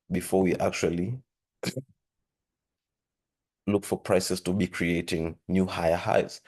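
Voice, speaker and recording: A man hosting a trading lesson, talking steadily through charts with a hoarse voice and frequent throat-clearing. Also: low voice. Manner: steady explanatory, repeats "with that being said". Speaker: forex trading channel host. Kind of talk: screen-share trading lesson.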